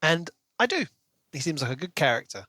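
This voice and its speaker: A man's voice: a little high, big pitch swings, brisk, pushed and bright, no echo, studio quality. A man co-hosting a casual book discussion, talking in an enthusiastic, speculative and conversational way. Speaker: podcast co-host.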